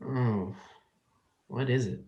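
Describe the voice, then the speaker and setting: A woman's voice: rumbling low, slow, mellow, lo-fi sound. Young woman acting a researcher, guarded, awkward, dry-humored, dramatic reading. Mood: wary. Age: young adult.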